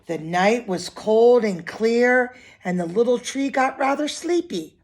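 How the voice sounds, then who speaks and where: A woman with a fairly high, slightly husky voice reads a children's picture book aloud. She is expressive and phrases things simply, as a storyteller speaking directly to young listeners does. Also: takes it slowly.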